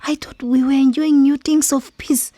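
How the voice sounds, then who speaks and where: A woman playing a gentle, anxious young village woman and caring friend in a radio drama, speaking softly and earnestly. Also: sits high, level tone, normal speed, no echo, clean studio sound, close to an everyday voice. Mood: troubled, afraid. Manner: soft, earnest.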